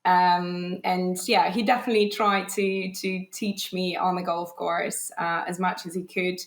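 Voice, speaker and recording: A woman's voice: on the low side, little pitch movement, measured pace, echoey room. A woman from Poland, a golf teaching professional, chatting as a podcast guest in a thoughtful way with many restarts. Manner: thoughtful, frequent restarts and fillers, light laughs. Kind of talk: casual podcast interview.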